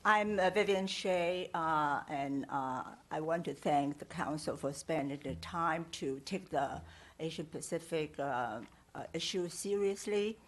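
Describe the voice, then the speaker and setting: A woman's voice: low voice, a little slow. A woman speaking up for seniors at a public meeting. She hesitates often, with many fillers. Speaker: seniors' advocate. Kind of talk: brief remarks at a public meeting.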